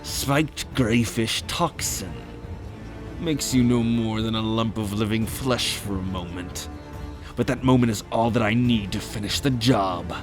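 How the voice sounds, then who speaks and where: A man's voice: fairly low pitch, unhurried, music in the background, close to an everyday voice. A man playing a cruel, arrogant merman prince in a fantasy audio drama, taunting with haughty contempt. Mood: gloating.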